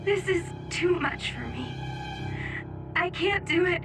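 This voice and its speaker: An actress playing a fragile, gentle young woman in a video game, despairing and apologetic. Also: very high voice, animated, slow pace, creaky, music in the background, heavily acted. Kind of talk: English video game voice acting.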